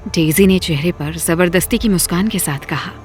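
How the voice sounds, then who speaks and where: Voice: mid-range voice, normal speed, soft background music. A narrator reading a scripted dramatic story.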